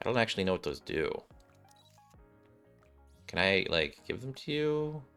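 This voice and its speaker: Male game streamer, deep register, casual rambling mutter, game music underneath. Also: very animated, moderate pace, fairly bright tone, smooth. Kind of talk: casual gameplay commentary.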